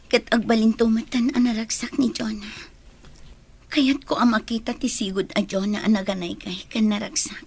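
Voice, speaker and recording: A woman playing a remorseful, heartbroken young woman in an Ilocano radio drama, speaking softly and apologetically. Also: a little high, fairly even intonation, normal speed, gravelly, slightly rough. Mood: resigned, sad.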